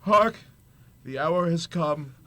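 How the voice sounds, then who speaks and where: A man's voice: fairly high pitch, takes it slowly, bright, forceful tone. A man playing an aged, solemn spirit in a radio drama, making grave, foreboding pronouncements.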